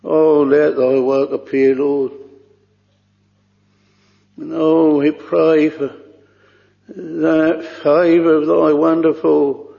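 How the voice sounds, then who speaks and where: Man praying slowly in a gravelly voice. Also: on the high side, flat delivery. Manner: slow, solemn, pleading with archaic thee and thou. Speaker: minister leading prayer. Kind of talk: extempore congregational prayer. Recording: lo-fi recording with long reverb in a large hall.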